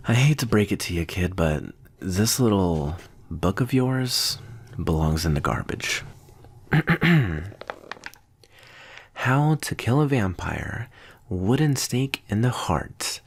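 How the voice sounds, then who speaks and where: A man playing a smug, amused vampire teacher in an ASMR roleplay, condescending and teasing, with unhurried, deliberate pauses. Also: on the low side, sing-song, slightly rough, full character voice. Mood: mocking, amused.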